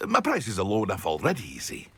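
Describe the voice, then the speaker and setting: A man's voice: fairly low pitch, wildly swooping pitch, fast, fairly bright tone, full character voice. A man playing a proud, jovial village potion seller in a video game. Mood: jovial, boastful. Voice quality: husky. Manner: chuckling, boastful. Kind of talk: video game shopkeeper dialogue.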